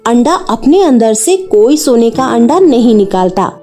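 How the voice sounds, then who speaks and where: A woman's voice: a little high, very animated, unhurried, warm tone, soft background music. A woman narrating a scripted children's cartoon story.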